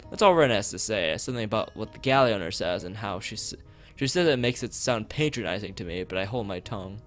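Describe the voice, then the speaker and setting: A man's voice: on the low side, lively intonation, quick pace, a bit bright. A man streaming a visual novel, reading it aloud and often tripping over words and correcting himself. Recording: music behind the voice.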